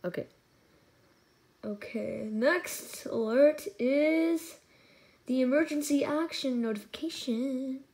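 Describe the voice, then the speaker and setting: A woman's voice: high voice, takes it slowly, a little mellow, breathy edge. A woman hosting a casual hands-on gadget demonstration, talking informally and muttering asides to the device. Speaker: hobbyist video host. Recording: noisy, with alert tones and sirens. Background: emergency alert tones and sirens.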